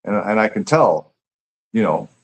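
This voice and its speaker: A man's voice: on the low side, expressive, unhurried, slightly rough. A man talking casually and reflectively as a guest author, earnest and repeating words as he thinks aloud. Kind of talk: casual, reflective interview chat.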